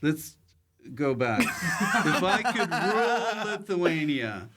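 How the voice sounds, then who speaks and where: A man's voice: high-pitched, big pitch swings, takes it slowly, heavy background noise. A man hosting a casual, comedic interview podcast, talking in a loose way with short prompts. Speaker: podcast host.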